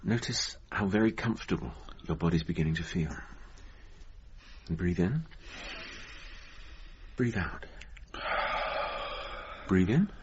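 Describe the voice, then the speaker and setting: A man acting an earnest, easily exasperated hypnotherapist in a naturalistic radio drama, halting and repeating words when flustered. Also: low voice, lively intonation, a little mellow. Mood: calm, soothing. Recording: dull lo-fi radio recording, audible noise.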